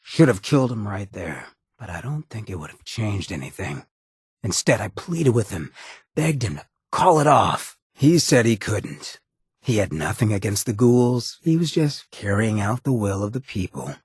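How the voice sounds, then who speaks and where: Very raspy streetwise ghoul rogue. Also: male voice, on the low side, very animated, normal speed, no echo, studio quality, exaggerated voice. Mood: bitter, regretful. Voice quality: raspy, gravelly. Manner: streetwise, colloquial, swearing drawl. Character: roguish ghoul man, streetwise companion, blunt but loyal. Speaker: companion character in a video game. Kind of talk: video game dialogue acting.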